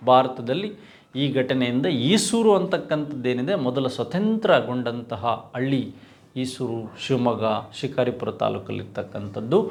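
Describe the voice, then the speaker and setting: A man giving an exam-prep history lecture as a coaching teacher, explaining with rhetorical questions and repeating key terms. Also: mid-range voice.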